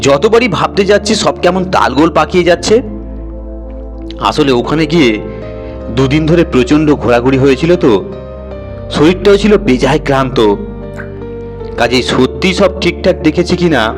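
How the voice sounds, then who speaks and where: A man's voice: on the high side, expressive, moderate pace, long pauses, over music, natural voice. A man voicing a boastful, good-natured uncle who tells his ghostly tale colloquially and teasingly in an audio story. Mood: teasing, confiding.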